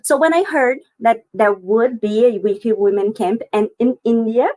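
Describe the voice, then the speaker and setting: A woman's voice: a little high, normal speed, a little mellow. A woman from Brazil with a Brazilian accent, a native Portuguese speaker, answering as a guest on a video call panel. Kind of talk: conversational interview answers.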